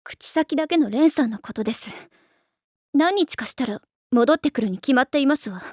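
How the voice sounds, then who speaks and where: A woman voice-acting a haughty, strict high school young lady in an anime, speaking in prim, pompous, overly polite phrases with a sharp tongue. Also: lively intonation, fairly quick, dry recording, studio quality. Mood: dismissive. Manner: prim, pompous ojou-sama diction.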